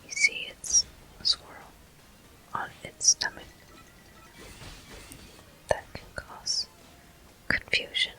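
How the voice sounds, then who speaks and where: Slow, breathy ASMR whisper, gaming commentary. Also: rough recording. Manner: soft, unhurried, gently narrating each step. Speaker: gaming video host.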